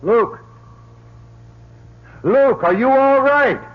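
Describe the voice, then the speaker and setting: Protective astronaut commander, mid-pitched, very varied intonation, clipped, radio drama, muffled recording. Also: male voice, animated, very slow, full character voice. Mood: alarmed. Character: steady, protective male astronaut commander, a husband. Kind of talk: old-time radio drama acting.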